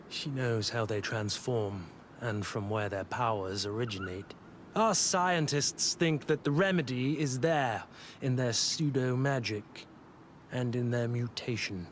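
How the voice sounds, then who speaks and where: A man's voice: mid-range voice, measured pace, fairly bright tone, natural voice. Guy acting cold officer henchman in a game, polite but menacing, bit of background noise. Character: cold, ruthless male henchman officer serving a governor. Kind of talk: dramatic video game acting.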